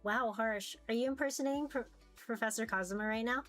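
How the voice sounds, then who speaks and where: A woman's voice: a little high, a little fast, a bit bright, faint music behind, natural voice. A woman voicing a young university student, casual, hesitant and slightly defensive, in a visual novel. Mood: teasing, defensive.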